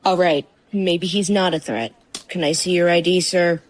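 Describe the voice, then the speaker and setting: A man's voice: sits high, steady tone, normal speed, slight background noise, lightly acted. An actor playing a tense, officious male police officer in an audio drama. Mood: grudging, defensive.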